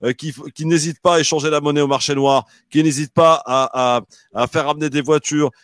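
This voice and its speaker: Quick co-host man, dry sound. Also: a little high, steady tone, fairly bright tone, no room sound. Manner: moderating, pointed. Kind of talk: radio debate.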